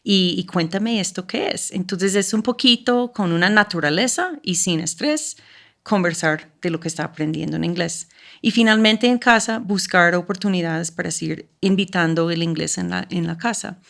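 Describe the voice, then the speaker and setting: Woman, low voice, gravelly, interview guest, explanatory, gives practical advice. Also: moderate pace. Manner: checks in with listener using "¿sí?". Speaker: school language program coordinator.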